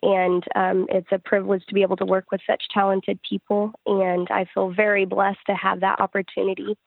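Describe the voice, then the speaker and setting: A woman speaks as an interviewed musician, telling things in an earnest, reflective way. Her pitch sits in the middle for a woman and moves very little, and the recording is dry and close. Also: level tone, a little fast, vocal fry, no room sound, narrow, tinny audio. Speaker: interviewed singer-songwriter. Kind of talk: conversational interview answers.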